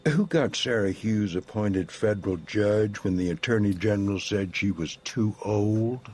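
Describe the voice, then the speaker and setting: An actor playing a commanding, calculating president in an audio drama, giving clipped orders and demanding confirmation. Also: male voice, lowish voice, a little slow, a little mellow, husky. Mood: commanding, insistent. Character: commanding, calculating male president taking charge.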